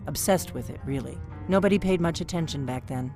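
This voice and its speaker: A woman's voice: low-pitched, brisk. An actress playing a terse, world-weary middle-aged female secret agent in a fantasy video game, wry and guarded. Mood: wry, intent. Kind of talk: video game character dialogue. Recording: game music behind the voice.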